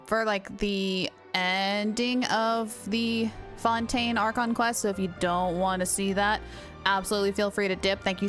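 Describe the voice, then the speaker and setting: A woman's voice: medium pitch, a bit bright. A woman live-streaming a game, chatting casually with viewers in a bubbly, giggly manner.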